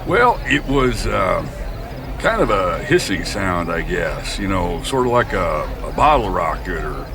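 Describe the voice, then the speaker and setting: A folksy, bumbling farmer giving a radio drama interview. He rambles hesitantly with a lively, swooping pitch, standing away from the mic in outdoor noise. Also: measured pace, creaky, recorded from a distance, full character voice. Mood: rambling, flustered. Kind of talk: radio drama character acting.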